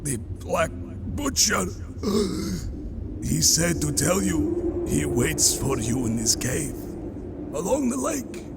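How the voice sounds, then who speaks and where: A man voicing a dying, weakened god of the hunt in a comic book audio drama, halting and breathy, on a noisy recording. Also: a little high, very animated, full character voice. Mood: weak, dying. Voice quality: breathy, halting, gasping and coughing. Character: dying Siberian hunting god, a broken, weakened male.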